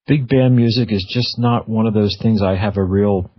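A man's voice: lowish voice, normal speed, slightly rough. A man hosting a casual radio interview in an informal, conversational manner. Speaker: radio host. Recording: narrowband phone-like radio sound.

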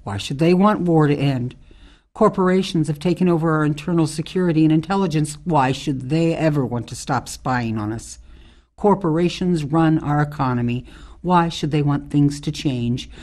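A woman playing a tough, outspoken ex-military forest ranger in a radio drama, speaking in a measured, emphatic way. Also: deep voice, darkish tone, natural voice. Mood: grave, critical.

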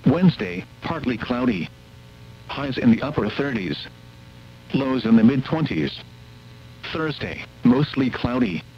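A man reading a scripted weather forecast as a radio announcer, in a formal, measured delivery. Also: medium pitch, big pitch swings. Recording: muffled weather radio broadcast, hum and static.

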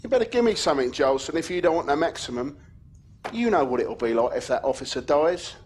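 An actor playing a hard, sneering London man in a crime game, with a gruff, taunting manner. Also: on the high side, very animated, fast, a little mellow. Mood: menacing.

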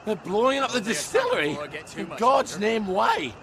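A man playing an excitable, stubborn middle-aged British father in a dramatic video game performance, gruff and defensive. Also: high voice, normal speed, crowd noise, heavily acted. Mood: exasperated.

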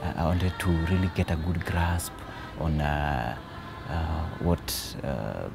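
A man answering interview questions outdoors, thoughtful and pausing often. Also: extremely low pitch, lively intonation, gravelly, over loud music. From Kenya. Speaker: theology student. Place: outdoors by a wall.

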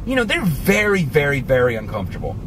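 A man's voice: fairly high pitch, fast talker, a little hiss or hum. Chatty man vlogging from his car. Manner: animated and chatty, with many asides. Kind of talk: casual motivational talk to camera. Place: inside a parked car. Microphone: camera on the dashboard.

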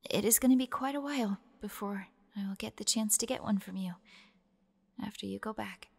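A woman playing a tender, protective big sister spirit in an audio roleplay, speaking softly with a ghostly reverb. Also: mid-range voice, a little fast, gravelly. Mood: loving farewell. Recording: long reverb on the voice.

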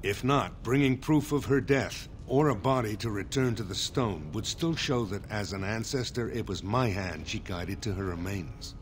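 An actor voicing a dignified older dwarf lord in a fantasy video game, speaking formally and with measured gravity. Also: male voice, fairly low pitch, a little hiss or hum, natural voice. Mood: grave, formal. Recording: game dialogue over prominent music. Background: loud background music.

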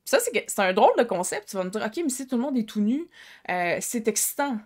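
A woman's voice: fairly high pitch, a little fast. A woman in her thirties with a Québécois accent, talking frankly and expressively to camera from her living room. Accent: Québécois French. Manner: expressive, gestures with hands, frank and chatty. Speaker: sex coach vlogger. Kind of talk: casual talk to camera. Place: living room at home, indoors.

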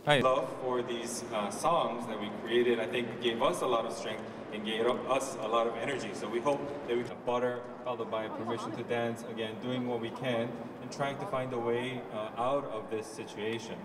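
A man interpreting remarks into English at a press conference, measured and slow. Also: mid-range voice, fairly even intonation. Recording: distant roomy echo, audible noise.

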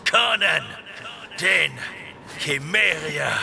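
An actor playing a young, defiant, grim barbarian warrior, declaiming in a fantasy audio drama. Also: male voice, a little high, expressive, very slow, creaky, heavy background noise, heavily acted.

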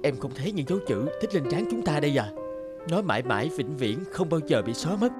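An actor playing a bitter, despairing young outcast in a cartoon, dramatic and fatalistic. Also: male voice, a little high, sing-song, quick pace, over loud music.

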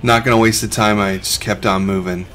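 A man's voice: lowish voice, fairly even intonation, brisk, a bit bright. A middle-aged man, a reseller who hosts a vlog, narrating over his footage in a laid-back, conversational way.

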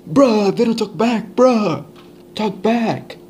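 A man with a somewhat high voice hosts a casual prank chat. He jokes in slang and slips between two languages. The amateur recording carries some light noise. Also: animated, slow, a little mellow. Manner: joking, slangy, switches between English and Spanish. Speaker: host. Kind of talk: casual prank chat over a walkie-talkie app. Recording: noisy amateur home recording.

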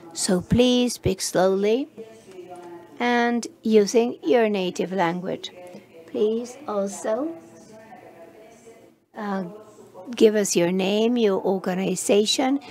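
Slow, gravelly woman interpreting live. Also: medium pitch, darkish tone. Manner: halting, frequent restarts and fillers while rendering speech. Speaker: simultaneous interpreter.

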